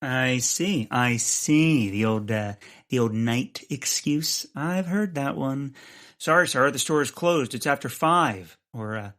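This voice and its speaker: A man playing a bumbling, cocky amateur sleuth in a comedic audio drama, blustering and rambling with hesitant pauses. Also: medium pitch, a bit bright.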